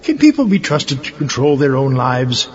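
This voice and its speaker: A man's voice: mid-range voice, big pitch swings, moderate pace, lightly acted. A man playing a pompous, gleeful megalomaniac scientist villain giving a theatrical audio-drama speech. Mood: grandiose. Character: gleeful megalomaniac mad scientist villain seeking world domination. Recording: muffled, long hall reverb, crowd behind.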